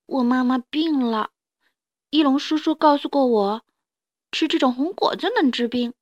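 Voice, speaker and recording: A little dinosaur boy from a storybook, played by a woman, with a high, childlike voice. He is sweet and eager, quick to plead and exclaim, and close to tears at any time. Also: darkish tone, lo-fi sound, natural voice. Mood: sad. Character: eager, tearful baby Spinosaurus boy, sweet and grateful.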